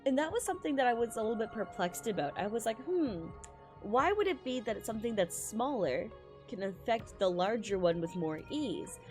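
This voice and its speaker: A woman with a fairly high voice streams a game, reading and commenting as she goes. She is excitable and tends to exclaim or trip over lines. Faint music plays behind her. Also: fairly quick. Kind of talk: live reading and reaction commentary of a game story.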